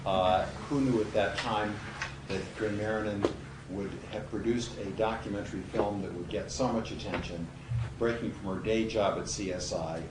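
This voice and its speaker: A man's voice: fairly low pitch, steady tone, moderate pace. A man hosting a screening event, giving an introduction speech with emphatic repetition. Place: event hall before an audience. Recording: roomy, noisy hall, far from mic.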